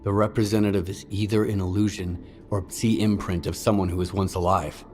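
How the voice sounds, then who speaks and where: An actor playing a grave, composed and earnest man in a video game, speaking in a measured, serious way. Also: sits low, flat delivery, a little mellow, soft background music, natural voice. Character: grave, composed male informant, earnest and steady. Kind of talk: dramatic video game acting.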